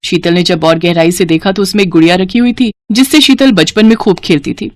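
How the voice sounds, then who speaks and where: A woman's voice: fairly low pitch, a little fast, no echo. Woman narrating a cartoon family story, dry sound.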